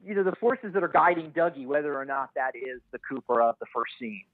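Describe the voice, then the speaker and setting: A man speaking as a podcast guest in casual analysis, hesitantly thinking out loud as he goes. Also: fairly high pitch, brisk, narrow, tinny audio.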